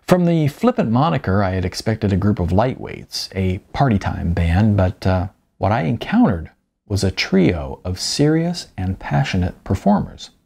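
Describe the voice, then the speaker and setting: Audiobook narrator: man, husky and low, wry conversational delivery with wide-swinging intonation. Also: sing-song, moderate pace, darkish tone. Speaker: author narrating own audiobook. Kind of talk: expressive first-person novel narration.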